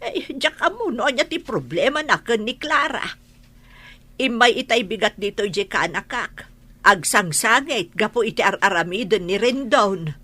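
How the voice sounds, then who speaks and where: Woman acting worried filipino mother, middle-aged, bright sharp voice, pleading, radio drama. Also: on the high side, lively intonation, normal speed, no echo. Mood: imploring. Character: concerned older mother-in-law pleading for her daughter.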